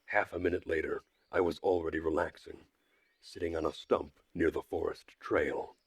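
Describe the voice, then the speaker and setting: Very low, slightly rough male narrator. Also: fairly even intonation, normal speed, dry recording. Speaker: streamer reading a visual novel aloud. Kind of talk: first-person story narration.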